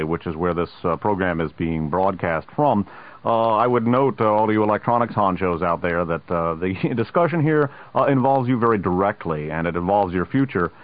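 A low-voiced man hosts a political radio interview, working through his points haltingly with frequent uhs and the odd wry aside. His voice comes through a thin, tinny broadcast line. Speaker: radio host.

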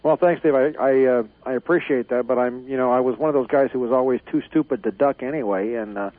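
A man's voice: mid-range voice, fast. Man talking on a tinny phone line. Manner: confident, rambling with fillers. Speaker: guest, newsletter editor. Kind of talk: radio interview.

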